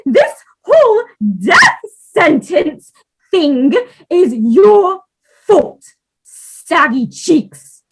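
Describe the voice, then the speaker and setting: A woman's voice: very high-pitched, big pitch swings, extremely slow, heavily acted. A woman in a comedic play reading, playing a theatrical, easily wounded, bickering spouse with a whiny delivery. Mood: wounded, melodramatic. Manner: melodramatic, whiny.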